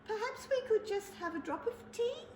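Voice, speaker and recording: Woman doing a polite high little mouse. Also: animated, moderate pace, a little hiss or hum. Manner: soft, polite, prim. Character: small polite male mouse, cheeky and persistent. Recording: roomy echo.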